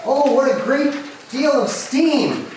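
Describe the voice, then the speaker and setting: A woman playing a gentle, good-natured peacemaking husband in an amateur stage reading. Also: high voice, slow pace. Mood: delighted. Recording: distant echoey room, noisy.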